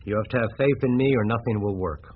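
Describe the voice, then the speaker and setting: Male actor as smooth-dealing city man, radio drama. Low pitch, measured, confident; slightly dull recording. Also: lightly acted. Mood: cool, businesslike. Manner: measured, assured, clipped. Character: cool, confident city-bred male deal-maker, younger.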